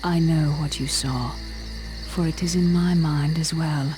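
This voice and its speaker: A woman playing an ancient, regal elven queen in a fantasy video game, solemn, measured and formal. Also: low voice, steady tone, over music, poor audio. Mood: solemn, mystical. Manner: slow, measured, grave, formal.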